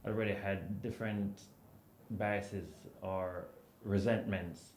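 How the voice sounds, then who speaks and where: A man speaking on a writers' panel, animated and storytelling, acting out quoted remarks. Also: low-pitched, takes it slowly, slight background noise. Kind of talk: panel discussion. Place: event hall with audience. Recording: echoey room.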